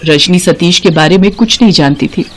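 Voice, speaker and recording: A woman's voice: lowish voice, a little fast. A woman narrating a scripted moral story as a storyteller.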